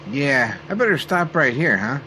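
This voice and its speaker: A man's voice: medium pitch, very animated, moderate pace, pushed and bright, a little hiss or hum, rough recording. A man playing a loyal, wry 1940s cab driver with colloquial, streetwise phrasing, in old-time radio comedy style. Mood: helpful, eager.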